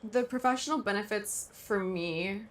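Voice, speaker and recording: A woman's voice: mid-range voice, normal speed. Composed young woman in interview. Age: 20s. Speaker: actress being interviewed. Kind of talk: magazine interview.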